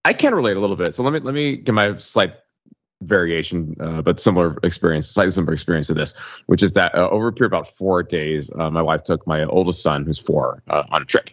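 A man in his thirties co-hosting a podcast, telling personal stories in a measured, self-deprecating, casual way. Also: low-pitched, no room sound. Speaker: podcast co-host. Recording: slightly dull, lo-fi microphone sound.

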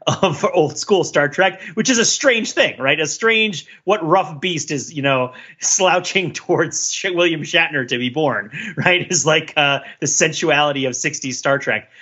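Bright-voiced man chatting, slightly muffled audio. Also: fairly high pitch, slightly rough. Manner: halting, digressive, self-correcting. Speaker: podcast co-host. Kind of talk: casual pop culture discussion.

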